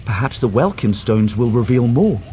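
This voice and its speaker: A man's voice: mid-range voice, big pitch swings, moderate pace, warm tone, soft background music, close to an everyday voice. An actor voicing a cautious, scholarly male mage in a video game, speaking formally and precisely. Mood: thoughtful, explanatory. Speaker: quest-giving character.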